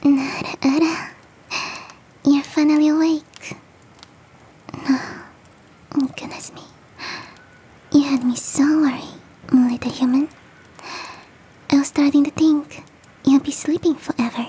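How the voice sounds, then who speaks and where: A woman voicing a big fluffy motherly yeti in an ASMR roleplay, cooing gently and teasingly in a soft, breathy whisper. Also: sits high, fairly even intonation, slight background noise, rough recording. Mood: soothing, playful. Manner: cooing, nurturing, slow and teasing.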